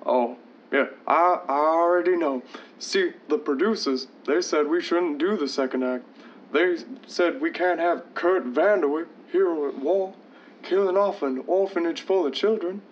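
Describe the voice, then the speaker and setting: A man's voice: a little high, unhurried, natural voice. A man playing a glib radio writer and theatrical announcer in an old-time radio drama. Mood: breezy. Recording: dull lo-fi sound with music.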